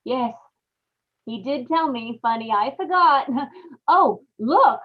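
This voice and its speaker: Woman playing unhinged killer wife confessing, highish brooding voice, old radio horror show, kinda muffled lo-fi. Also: expressive, slow pace, exaggerated voice. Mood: sly. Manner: dramatic, brooding confessional with repeated phrases. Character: guilt-haunted murderous young wife, unhinged and brooding.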